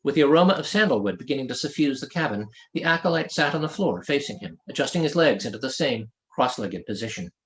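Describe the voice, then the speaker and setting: A man reading his own fantasy novel aloud as an author, in expressive scripted narration. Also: mid-range voice, fast talker, hardly any pauses.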